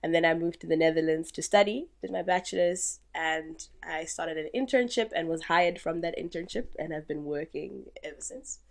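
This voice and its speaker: A young woman from Zimbabwe chatting on a podcast, her voice sitting a little low for a woman. She tells her stories in a playful, animated way and breaks into easy laughter. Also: normal speed, creaky, few pauses. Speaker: podcast guest. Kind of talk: casual chat.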